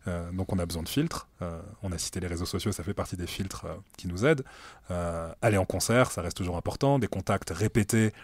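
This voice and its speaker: Late-30s guy, really low voice, laid back, lots of "euh" pauses, interview in an office room. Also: dry recording. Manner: relaxed, thoughtful, many hesitations. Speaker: music director at a streaming platform, guest. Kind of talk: casual conversational interview. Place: meeting room at the streaming company's office.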